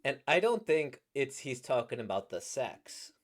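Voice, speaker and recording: A man with a slightly squeaky, trebly voice, a voice actor casually reacting to comics in a rambling, playful way. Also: big pitch swings, unhurried. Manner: rambling, playful, laughs at his own jokes.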